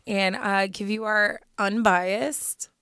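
A woman with a raspy voice, extra husky from being sick, co-hosting a podcast. She talks chattily with fillers and laughter, and the dry, close sound has no room around it. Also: mid-range voice, steady tone, slow, sharp tone, no echo. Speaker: podcast co-host. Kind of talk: casual chat.

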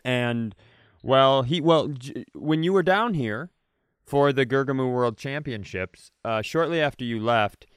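A man co-hosting a comedy podcast, rambling deadpan through offbeat questions in casual chat. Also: mid-range voice, unhurried, smooth, no echo, studio quality. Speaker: podcast co-host.

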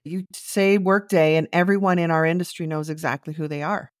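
A woman's voice: deep voice, fairly even intonation, brisk, dry recording, studio quality. A woman co-hosting a recruitment podcast, asking direct, friendly interview questions. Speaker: podcast co-host.

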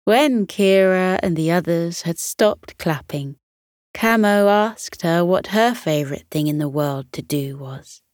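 A woman narrating a children's bedtime story in a gentle, drawn-out, expressive manner. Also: lowish voice, no echo, clean studio sound. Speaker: storyteller, podcast host.

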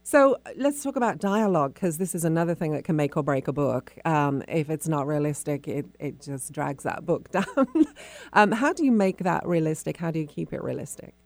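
A woman interviews in an informal, conversational radio style. Her voice is on the low side with a noticeable gravelly creak. She sounds close and dry, and shapes her questions in halting phrases. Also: lively intonation, no echo.

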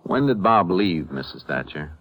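An American actor playing a stern, steady Texas Ranger in a 1950s radio western, terse and businesslike. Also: male voice, low-pitched, moderate pace, low-quality recording. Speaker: actor narrating and playing the lead. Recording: vintage 1950s radio drama recording.

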